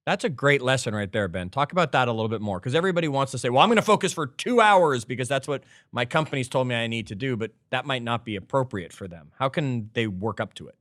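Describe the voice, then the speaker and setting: A man's voice: mid-range voice, expressive, fast, few pauses, a bit bright, dry recording, studio quality. A man co-hosting a podcast in casual discussion, prompting the host with questions and adding his own examples.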